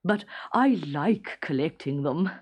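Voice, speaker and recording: A woman voicing a fussy, excitable king in a storybook, blustering and complaining. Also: sits low, slow, heavily acted. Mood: sulky protest.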